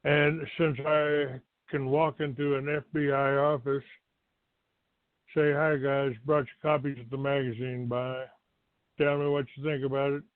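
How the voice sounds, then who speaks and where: An older American man from Texas hosting a talk show, giving a rambling, halting monologue to his listeners. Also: mid-range voice, flat delivery. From Texas, United States. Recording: roomy, tinny phone-like line.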